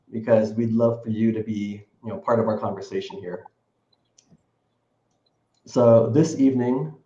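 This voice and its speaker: Male stream host, mellow tone, dull roomy recording. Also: mid-range voice. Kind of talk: live-stream interview host. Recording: dull echoey room sound.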